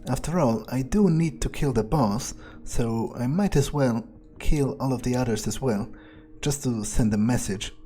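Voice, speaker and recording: Man playing a mellow, cruel vampire. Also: medium pitch, lightly acted. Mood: musing, callous. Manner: deliberate, musing, condescending, with hesitant pauses. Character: cruel, arrogant male vampire, coolly menacing, entitled. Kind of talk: in-character video game roleplay monologue.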